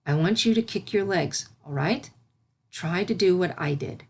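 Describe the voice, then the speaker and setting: Lady doing a calm royal mare teacher, lowish voice, soft measured, cartoony. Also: husky. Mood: calm, instructing. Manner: gentle, measured instruction. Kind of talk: cartoon fan fiction character reading.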